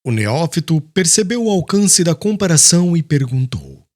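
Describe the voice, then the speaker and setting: Expressive, higher-voiced male audiobook narrator. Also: normal speed. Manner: solemn, formal. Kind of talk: scripted dramatic literary narration.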